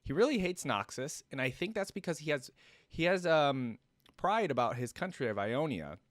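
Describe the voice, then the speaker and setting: A man's voice: fairly high pitch, sing-song, moderate pace, no room sound, clean studio sound. A man giving casual, rambling reaction commentary as a video host, thinking aloud. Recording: music playing behind.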